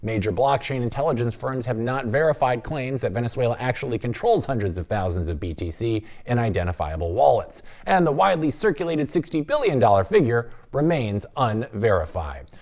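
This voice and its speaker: A man's voice: mid-range voice, fairly quick, few pauses. A middle-aged man hosting a news show, reading stories and welcoming a guest with an upbeat manner. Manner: lively, upbeat delivery. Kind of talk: scripted news read and interview.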